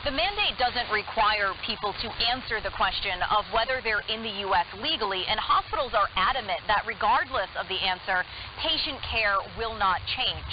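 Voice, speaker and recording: A woman's voice: medium pitch, animated, normal speed. A woman correspondent giving a scripted news report over shortwave radio. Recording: muffled shortwave radio with clear noise.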